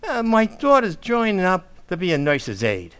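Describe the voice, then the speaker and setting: A man's voice: high-pitched, wildly swooping pitch, normal speed, fairly bright tone, clean tone, full character voice. A man playing a middle-aged, blustering working-class family man in old-time radio comedy style, with folksy ungrammatical speech and comic stammers. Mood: casual, defensive.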